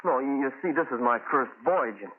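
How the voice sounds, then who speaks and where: A man's voice: mid-range voice, expressive, fairly quick, natural voice. An actor playing a nervous, naive young cabin boy in 1930s radio style, hesitant and earnest. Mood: anxious. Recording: noisy, narrow old radio recording.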